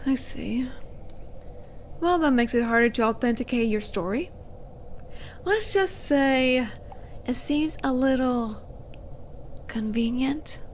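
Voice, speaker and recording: A woman voicing a haughty, teasing female demon in a roleplay audio drama, with a flirty, sardonic and deliberate manner. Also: fairly high pitch, a little mellow, a little hiss or hum, low-quality recording. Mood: cool, suspicious.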